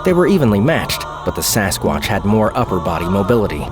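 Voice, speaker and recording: A man narrating an action-packed audio drama in a measured, storytelling delivery, with music behind him. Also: fairly low pitch, big pitch swings. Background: music score.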